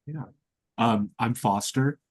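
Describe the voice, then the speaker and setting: A man's voice: mid-range voice, takes it slowly, darkish tone, slightly rough, no echo. A young man, a student co-hosting a podcast, chatting informally with hesitant phrasing. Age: young adult. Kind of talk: casual chat.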